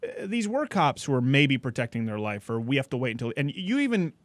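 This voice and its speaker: A man hosting a talk show, chatting casually with a guest, animated and joking, with rambling asides. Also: medium pitch, a little fast, dry recording. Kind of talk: casual interview chat.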